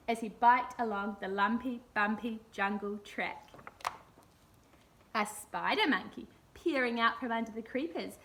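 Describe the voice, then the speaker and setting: High, bright-voiced woman reading a story. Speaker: picture book reader. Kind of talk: expressive children's story reading.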